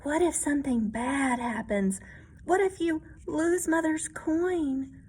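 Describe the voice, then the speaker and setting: A woman's voice: sits high, takes it slowly, a little mellow, voice pushed up. A woman voicing anxious, imaginative little kittens in a children's picture book, in a worried, childlike manner.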